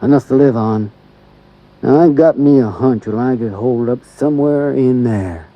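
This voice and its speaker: An actor playing a big, slow-talking small-town deputy sheriff in a 1950s radio drama, with a folksy, unhurried drawl and rural grammar. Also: male voice, medium pitch, warm tone, close to an everyday voice. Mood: laconic. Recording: dull lo-fi old radio recording.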